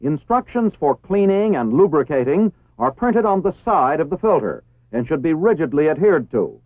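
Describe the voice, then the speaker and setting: A man with an American accent reading formal, scripted instructional narration in a measured, precisely enunciated way. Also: a little high, big pitch swings, no room sound. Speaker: training film narrator. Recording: tinny phone-like 1960s film soundtrack.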